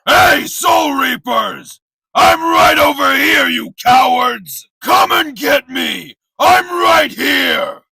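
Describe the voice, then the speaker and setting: A man's voice: sits high, moderate pace, creaky, pushed and bright, breathy edge, no echo, full character voice. A man playing a mocking, combative male villain in an anime dub, with a taunting, scornful delivery. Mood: loud taunting.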